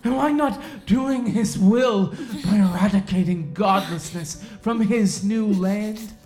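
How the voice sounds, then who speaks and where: A woman playing a self-righteous, coldly predatory male Puritan sheriff in an audio drama, deliberate and brooding, with long pauses. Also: medium pitch, darkish tone, lightly acted. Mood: fervent, menacing. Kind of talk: audio drama acting, slow dramatic monologue. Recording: roomy echo under music.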